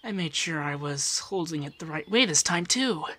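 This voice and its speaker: Soft-spoken flirty femboy monster companion, acted by a man. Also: a little high, animated, normal speed, slight background noise. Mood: upbeat, earnest. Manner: flirty, gently teasing, shyly stammering. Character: affectionate, strong, teasing male Lovander, a femboy monster companion. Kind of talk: ASMR roleplay.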